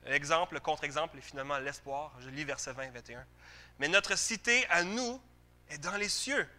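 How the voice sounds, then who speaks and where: A man delivers a sermon in a Quebec French accent. His voice sits a little high and has a very bright, forceful edge. He talks to the congregation conversationally, stressing key phrases through repetition and rhetorical questions. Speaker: preacher.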